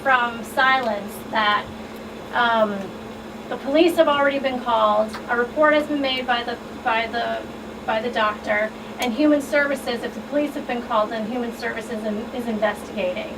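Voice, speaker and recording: A woman's voice: fairly high pitch, moderate pace, distant mic. A woman asking questions conversationally on a radio talk show. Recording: off-mic, clear background room noise.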